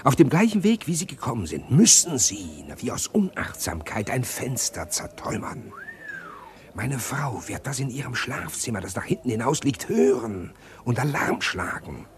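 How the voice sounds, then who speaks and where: An actor playing a scheming, respectable embezzler in a radio crime drama, laying out a plan in a precise, insistent, often hushed way. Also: male voice, medium pitch, sing-song, normal speed. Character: scheming respectable male embezzler plotting a fake burglary.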